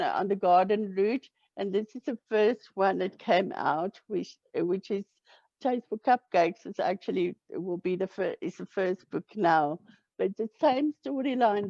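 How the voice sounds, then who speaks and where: A South African woman whose first language is Afrikaans, talking hesitantly with many pauses and fillers as an interview guest. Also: mid-range voice, warm tone, no echo. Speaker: romance author guest. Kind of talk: casual interview. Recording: dull lo-fi audio.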